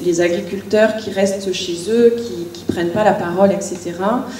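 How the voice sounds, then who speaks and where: A woman's voice: mid-range voice, fairly even intonation, moderate pace, dark tone, reverberant, recorded from a distance, a little hiss or hum. A middle-aged woman, a village mayor, answering questions on a panel, hesitant with many restarts and fillers.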